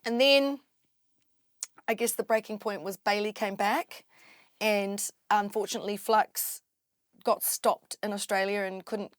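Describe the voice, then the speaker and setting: A young woman with a New Zealand accent and a low voice, an MC telling her story in a lively, animated way as a podcast guest. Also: unhurried, no room sound, clean studio sound. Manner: lively, animated storyteller who acts out quotes. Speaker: drum and bass MC, guest. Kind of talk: casual interview storytelling.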